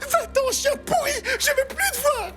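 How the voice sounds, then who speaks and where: Childish male cartoon boar, piercingly high, shrill, emotional, exclaiming, music underneath. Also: lively intonation, moderate pace. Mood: outraged, hurt. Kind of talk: animated cartoon acting.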